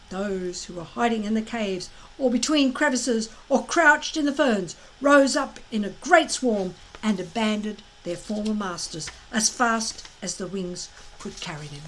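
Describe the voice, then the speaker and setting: A woman reading a children's story aloud as expressive narration, with dramatic emphasis and deliberate phrasing. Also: a little high, rough recording.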